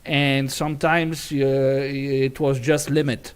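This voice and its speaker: A man, a pianist on a jury panel, giving critique in a halting way with frequent restarts. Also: mid-range voice, flat delivery, smooth. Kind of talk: spoken critique.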